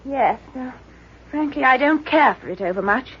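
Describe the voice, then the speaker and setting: An actress playing an eager, polite young secretary in an old-time radio mystery, flustered and apologetic in manner. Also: female voice, sits high, animated, moderate pace, a little hiss or hum. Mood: anxious, urgent. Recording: dull vintage radio recording.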